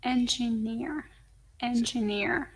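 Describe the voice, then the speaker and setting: A woman in a pronunciation class, answering in single words and saying each one again with care. Her voice is somewhat high. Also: takes it slowly, breathy edge, rough recording. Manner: repeats words carefully, short answers. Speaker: student.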